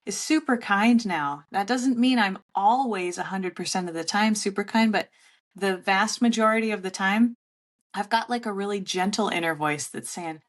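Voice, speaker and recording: A woman's voice: medium pitch, normal speed. A woman guest on a podcast interview, talking candidly and often laughing at herself. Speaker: guest, relationship author.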